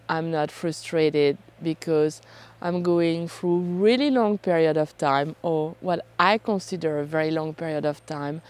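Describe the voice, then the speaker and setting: A woman, hoarse from a cold, talking casually and chattily to camera as a self-deprecating vlog host. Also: sits low, a little mellow. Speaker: photography vlogger and host.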